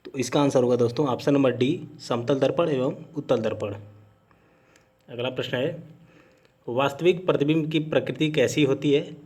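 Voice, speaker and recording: A man's voice: mid-range voice, a little mellow, slightly rough. A man teaching an exam-prep lesson, methodically reading out questions and then giving each answer.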